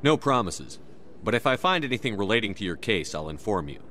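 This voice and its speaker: Game role: sceptical male soldier in command, deep, quick delivery, direct and authoritative. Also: very animated, pushed and bright, slight background noise. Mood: businesslike. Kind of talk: video game dialogue acting.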